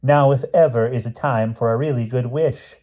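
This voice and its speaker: A man voicing a small, mild, absent-minded gentleman in a storybook, with polite, measured, old-fashioned phrasing. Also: medium pitch, highs cut off, pitched above the performer's own voice, lightly acted.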